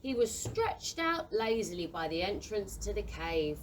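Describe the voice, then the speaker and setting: A woman narrating a scripted children's story in an expressive, deliberate manner. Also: medium pitch. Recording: faint background noise.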